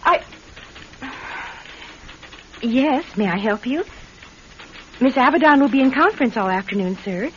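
Flustered, high-voiced young secretary. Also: female voice, expressive, fairly bright tone. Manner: polite, chatty, halting when flustered. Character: young new secretary, flustered, eager, apologetic. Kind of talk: vintage-style radio drama acting. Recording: muffled, noisy radio drama recording.

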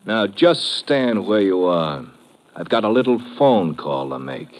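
An actor playing a guarded, tough American army lieutenant in a 1950s radio drama, talking in terse slang. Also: male voice, on the low side, very animated, measured pace, very noisy. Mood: menacing.

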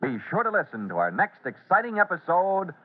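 A man's voice: sits high, wildly swooping pitch, fairly quick. A man narrating an old radio adventure serial as its announcer, in a dramatic style. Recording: thin, tinny old radio recording.

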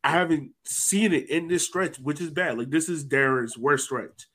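A man's voice: fairly high pitch, moderate pace, bright, forceful tone. A man talking casually and colloquially as a sports podcast host, sometimes voicing imagined reactions.

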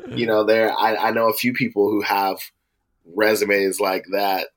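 A man's voice: on the low side, little pitch movement, measured pace, crowd noise, low-quality recording. A man co-hosting a casual music podcast, talking about musicians he works with and laughing often. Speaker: podcast co-host. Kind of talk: casual chat.

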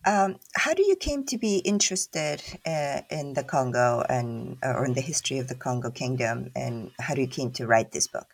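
A woman hosts an academic podcast and introduces her guest formally. Her voice has a gravelly fry, and it is recorded close and dry. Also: a bass voice, expressive, normal speed, hardly any pauses, no echo. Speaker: podcast host. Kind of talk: interview hosting.

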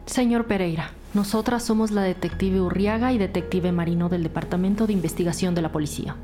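An actress plays a firm, brisk woman police detective in an audio crime drama. Her pitch is fairly low and her delivery businesslike. There is music clearly playing behind the dialogue. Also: fairly even intonation, lightly acted. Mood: firm, questioning.

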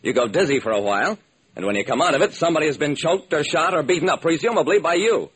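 Expressive, slightly husky male detective narrator. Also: fairly high pitch, fast, low-quality recording. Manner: dramatic storytelling. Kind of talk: scripted first-person radio detective narration.

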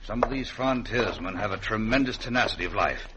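An actor playing a cold, cultured outlaw boss in an old-time radio western, pompous, precise and menacingly genteel. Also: male voice, lowish voice, animated, fairly quick, highs cut off, lightly acted. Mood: cold, commanding. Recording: faintly noisy old radio recording.

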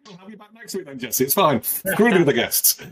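A man's voice: a little high, big pitch swings, brisk, fairly bright tone. A man hosting a podcast, wrapping up the interview in a joking, informal way. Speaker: podcast host. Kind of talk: casual interview wrap-up.

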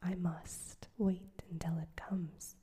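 A woman voicing a proud, gentle young woman who refuses a suitor, in a soft whisper. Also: on the low side, level tone, slow, breathy edge, heavily acted. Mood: firm, wistful. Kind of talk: whispered audiobook reading.

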